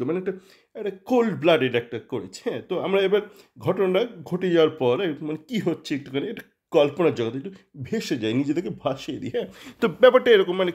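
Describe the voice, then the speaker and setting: A man's voice: a little high, a little mellow. A man telling an improvised story and commenting on it informally, often repeating and correcting his phrases. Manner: halting, repetitive phrasing with frequent self-corrections.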